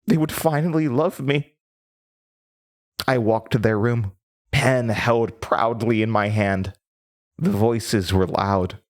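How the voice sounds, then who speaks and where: A man playing a disturbed, haunted storyteller in a horror audio drama, speaking in a halting, theatrical way over music. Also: lowish voice. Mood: pained, longing. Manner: halting, theatrical, with nervous laughter and drops into whispers. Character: disturbed, haunted storyteller recounting a horrifying childhood. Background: prominent music.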